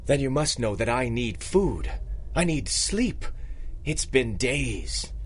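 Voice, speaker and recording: A bitter, desperate evil genius held captive in a radio serial. He is theatrical and indignant, with wildly animated intonation. Noise is clearly audible behind him. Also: mid-range voice, slow. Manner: melodramatic, indignant. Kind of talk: 1930s-style radio drama acting.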